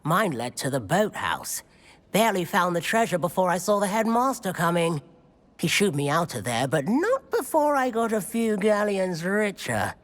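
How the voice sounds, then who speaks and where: A woman's voice: on the low side, big pitch swings, normal speed. A woman playing a cheerful young treasure hunter in a video game, breezy and exclaiming. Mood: cheerful, pleased. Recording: long echoing reverb.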